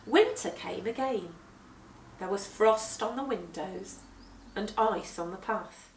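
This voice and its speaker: A woman reading a children's story aloud with expressive, dramatic emphasis, moving between hushed and swelling delivery. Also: mid-range voice, slow pace, slight background noise. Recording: echoey room, distant microphone.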